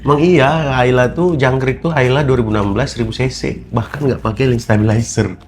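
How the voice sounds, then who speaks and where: A man's voice: mid-range voice, steady tone, brisk, a little hiss or hum. A young man from Indonesia hosting his channel, chatting casually and rambling with many fillers while snacking. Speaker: vlog host. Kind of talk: casual chat.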